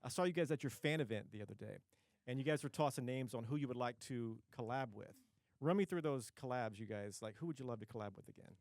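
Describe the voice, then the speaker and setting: A man hosting a casual radio studio interview, excitable, often stumbling and repeating words as he talks. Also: mid-range voice, fast, no room sound. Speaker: radio show host.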